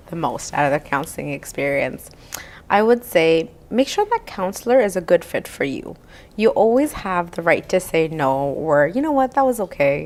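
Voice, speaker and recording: A woman counsellor from Canada talking in a casual, encouraging way and often checking in with "right?". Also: on the low side. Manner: warm encouraging listener, frequent "right?" tags. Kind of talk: casual conversation.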